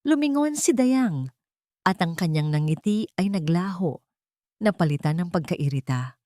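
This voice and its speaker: Low-voiced woman narrating a story. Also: lively intonation, a little slow, warm tone, no echo, clean studio sound. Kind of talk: scripted dramatic story narration.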